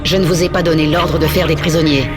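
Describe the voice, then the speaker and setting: A vengeful swarm queen, played by a woman, gives terse, imperious lines with old hurt beneath them. The delivery is dramatic game acting, with loud music over the voice. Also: sits low, fairly even intonation, fast talker, lightly acted. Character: commanding, vengeful queen of an alien swarm, wounded within.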